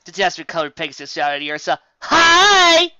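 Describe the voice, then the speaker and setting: A man narrating a fan fiction story in a steady reading manner. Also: on the high side, moderate pace, no echo. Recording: dry, dull lo-fi home recording.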